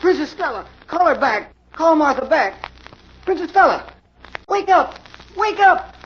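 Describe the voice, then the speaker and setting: An actor playing a nervous, grieving widower in an old radio drama, pleading anxiously. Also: male voice, extremely high pitch, big pitch swings, unhurried, a bit bright, heavily acted. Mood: desperate, panicked. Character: nervous, grieving widower, desperate séance client. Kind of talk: 1940s radio acting. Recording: dull, hissy old radio recording.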